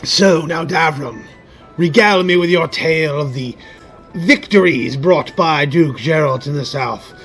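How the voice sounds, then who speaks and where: A man's voice: fairly high pitch, unhurried, fairly bright tone. A man voicing an effusive, courteous, money-loving tavern keeper in a fantasy radio drama, with florid, theatrical speech. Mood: effusive welcome. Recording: music under the voice.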